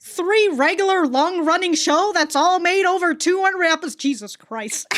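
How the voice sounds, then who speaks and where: A woman's voice: very high-pitched, animated, moderate pace, fairly bright tone. A woman giving casual, slangy reaction commentary with plenty of swearing and exclamations. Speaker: reaction video host. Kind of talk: casual reaction commentary to an anime, reading subtitles aloud.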